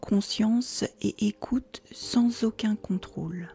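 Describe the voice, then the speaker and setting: A woman guiding a relaxation meditation in a soft, breathy near-whisper, calmly giving soothing instructions with pauses. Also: mid-range voice. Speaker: yoga nidra guide. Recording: faint music behind the voice.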